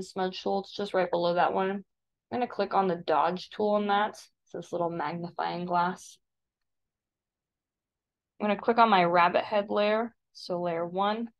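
A woman with a creaky voice, an art teacher casually explaining software step by step in a tutorial voice-over. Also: mid-range voice. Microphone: screen-recording voice-over. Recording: dull, lo-fi voice-over.

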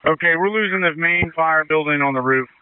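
A man's voice: fairly high pitch, brisk, clean tone, no room sound. A man giving terse, urgent orders as fire incident commander over a two-way radio. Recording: tinny band-limited two-way radio traffic.

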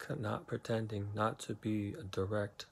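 Guy doing guided meditation, kinda low voice, pretty even tone, slow soothing instructions. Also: creaky, slightly rough.

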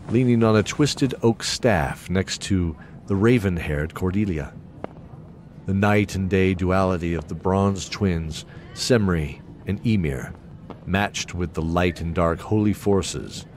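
A man's voice: low-pitched, a little mellow, slight background noise. A man narrating a scripted fantasy audio drama as a solemn storyteller. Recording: clear background ambience under voice.

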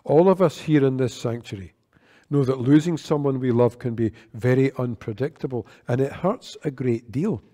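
An older man delivers a sermon at a church lectern, earnest and measured in his delivery. His voice is mellow and dark, and a long reverb trails off the sanctuary walls behind each phrase. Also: medium pitch. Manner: measured, earnest preaching with gestures. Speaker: worship leader. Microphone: lectern microphone.

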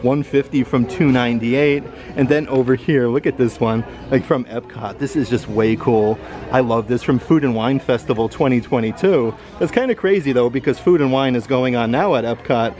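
A man's voice: mid-range voice, normal speed, darkish tone, faint music behind. A man vlogging an upbeat shopping walkthrough in an outlet store, filming handheld and reading off prices. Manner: upbeat, enthusiastic, lists prices.

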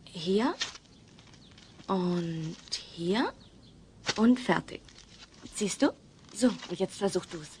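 A woman's voice: on the low side, expressive, extremely slow, pauses often, darkish tone, a little hiss or hum. A woman playing a patient, practical young woman in a comedy audio play, speaking in an instructive way.

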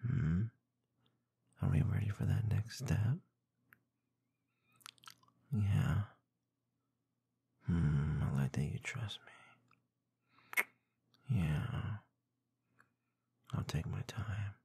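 Very deep, breathy, gravelly man murmuring. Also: dry recording. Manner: soft, teasing, slow murmuring with small chuckles and hums. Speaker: ASMR roleplay performer. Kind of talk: intimate ASMR roleplay. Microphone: close binaural microphone.

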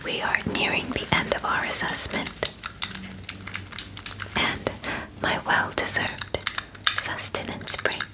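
A female alien examiner acted out by a woman in her twenties speaks in a soft whisper, very slowly and deliberately. She is calm and clinical, giving formal instructions up close in the manner of an ASMR roleplay. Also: close to an everyday voice. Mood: calm, methodical. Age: 20s. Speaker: ASMR performer. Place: bedroom, indoors. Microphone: close microphone.

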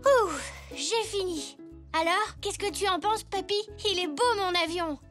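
A super high bright little zebra kid, chatty and eager, cartoon voice over soft music. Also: female voice, measured pace. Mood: proud. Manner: eager, chatty, excitable child voice. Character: young boy zebra, curious and playful. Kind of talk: French dubbed cartoon acting.

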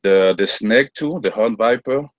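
A man with a French accent speaks slowly and haltingly, often restarting as he hunts for the English word. His voice sits low and comes across dry over an online call. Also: no echo. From France. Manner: halting, searching for words, many restarts. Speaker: class member. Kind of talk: hesitant online class reading.

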